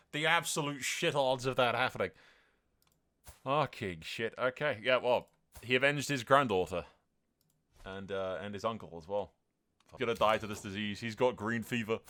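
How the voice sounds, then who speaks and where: A man's voice: mid-range voice, sharp tone. A man hosting a gaming channel, giving casual, reactive commentary on a roleplay playthrough in slangy, sweary language.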